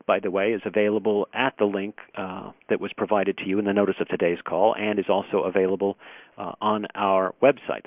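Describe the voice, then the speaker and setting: Low-voiced host on a phone line. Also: male voice, creaky, no echo. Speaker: host introducing a conference call. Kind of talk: formal introduction, partly read. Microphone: telephone. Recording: dry, narrow telephone line.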